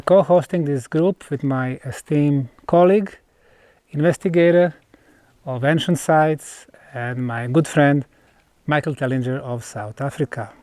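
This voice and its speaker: A man with a Bosnian accent presenting an on-site documentary in deliberate, emphatic phrases broken by pauses. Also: fairly high pitch, a little mellow, no room sound. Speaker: presenter, researcher. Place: outdoors at stone ruins.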